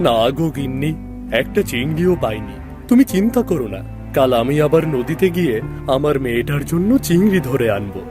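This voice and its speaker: Weary village fisherman dad played by a man, plaintive and devoted, lively intonation, music underneath. Children's cartoon. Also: mid-range voice, brisk, natural voice. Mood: gentle, apologetic.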